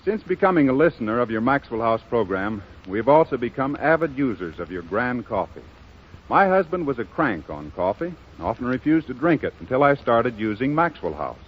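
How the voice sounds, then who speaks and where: A man's voice: mid-range voice, big pitch swings, a little fast, a little hiss or hum. A man reading a scripted 1930s radio advertisement as an announcer, in a polished, persuasive salesman's manner. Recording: dull, muffled 1930s radio broadcast.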